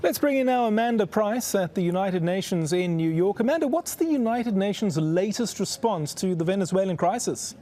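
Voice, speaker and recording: A man presenting broadcast news as an anchor, in a formal, measured delivery. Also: sits high, lively intonation, dark tone.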